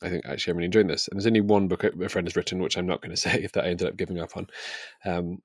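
British guy, pretty deep voice, chatty self-deprecating podcast host talking books, very dry sound. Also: flat delivery, racing, slightly rough, no echo. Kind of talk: casual book discussion.

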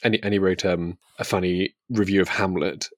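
A man's voice: low-pitched, fairly even intonation, normal speed, dry recording, studio quality. A man in casual book discussion, reflective and thinking aloud as a podcast host.

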